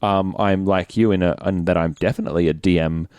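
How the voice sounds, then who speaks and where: A man's voice: low voice, fairly even intonation, brisk, darkish tone, smooth, dry recording, clean studio sound. An Australian man hosting a casual podcast chat, talking in an informal, stop-start way. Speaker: podcast host and actor.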